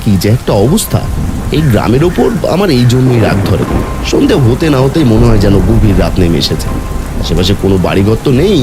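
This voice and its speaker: Guy doing a scared young village lad in a horror cartoon, pleading, voice swinging up high, music behind. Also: very animated, brisk. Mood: annoyed. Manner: fearful, pleading, emotional. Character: anxious young village man, student home from city.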